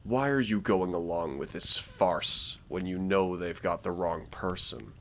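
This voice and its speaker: A man performs a villainous warlord with a very low, unhurried voice and a creaky edge. He is menacing yet persuasive, with theatrical, condescending delivery suited to a fantasy roleplay drama. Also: a bit bright. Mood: scornful, indignant. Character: menacing, smooth-talking male dark warlord villain invading dreams. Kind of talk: scripted roleplay audio drama, villain monologue.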